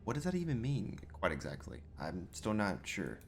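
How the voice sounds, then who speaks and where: A man's voice: fairly low pitch, huge pitch swings, normal speed, vocal fry. A man role-playing his character in a video game, improvising casual, polite commentary as he plays. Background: game music.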